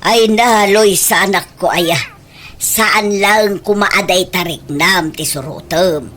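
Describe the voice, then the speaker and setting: A woman's voice: medium pitch, takes it slowly, fairly bright tone. Wary, protective Ilocano mother. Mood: cautioning. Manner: admonishing, motherly. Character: wary, protective older village mother, gently scolding. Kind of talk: Ilocano comedy radio drama acting.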